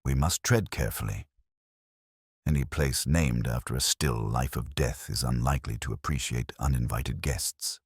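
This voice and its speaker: A man voicing a brilliant, composed detective in an audiobook story, speaking in a measured, cryptic and deliberate way. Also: very deep voice, breathy edge, dry recording, studio quality, voice pushed down. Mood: thoughtful, cryptic.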